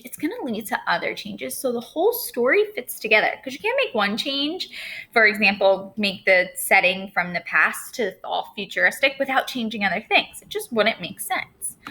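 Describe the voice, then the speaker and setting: A woman's voice: on the high side, pushed and bright. A young adult woman teacher explaining a writing lesson to her students step by step in an upbeat way. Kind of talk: recorded classroom lesson.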